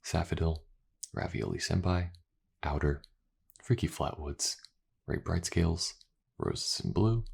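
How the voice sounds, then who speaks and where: A man talking casually and informally to his listeners in a low-key creator's outro. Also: unhurried, vocal fry, long pauses, darkish tone, slightly rough. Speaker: ASMR voice actor, channel creator.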